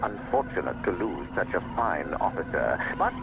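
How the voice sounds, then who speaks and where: Pompous, menacing Imperial governor. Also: male voice, low voice, very animated, vocal fry, background noise, full character voice. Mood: cold contempt. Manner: pompous, formal, slow gloating delivery. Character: haughty, menacing male Imperial governor, cold and sneering. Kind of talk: video game cutscene villain acting. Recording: muffled lo-fi game audio, music behind.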